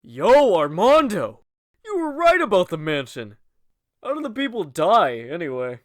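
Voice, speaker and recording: A man's voice: high-pitched, very animated, a little slow, dry recording. A man playing a brash, cocky young daredevil who goads his friends in bro talk, in a cheesy horror audio drama. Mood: excited, goading. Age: young adult. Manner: bro-talking, goading, cocky.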